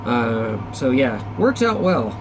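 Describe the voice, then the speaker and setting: A man's voice: medium pitch, sing-song, slow, background noise. A man chatting casually as a CB radio operator, in a folksy, slangy, rambling way. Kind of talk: casual chat over CB radio. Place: back-room radio shack.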